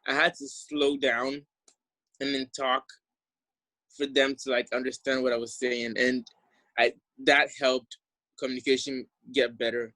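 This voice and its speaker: A young man from Ghana with a Ghanaian accent softened toward American, giving a friendly, enthusiastic talk over slides. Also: medium pitch, little pitch movement, unhurried, pauses often, no room sound, rough recording. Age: young adult. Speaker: exchange alumnus presenter. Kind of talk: casual presentation over slides.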